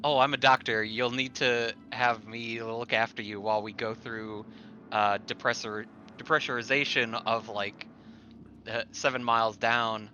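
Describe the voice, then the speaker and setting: A man's voice: medium pitch, little pitch movement, unhurried, sharp tone. A man chatting casually as a player discussing a tabletop roleplaying game. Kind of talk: casual chat after a game. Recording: muffled audio with faint noise.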